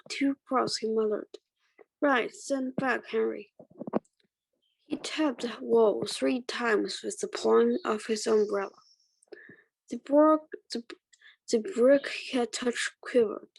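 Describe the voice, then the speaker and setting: A woman reading a children's fantasy novel aloud haltingly, stumbling over words now and then. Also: a little high, vocal fry, breathy edge, dry recording, rough recording. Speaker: reading club participant.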